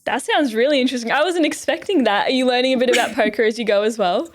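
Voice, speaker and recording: A woman's voice: a little high, fast talker. A woman hosting a podcast in an informal, chatty way. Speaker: podcast host. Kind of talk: casual podcast interviewing.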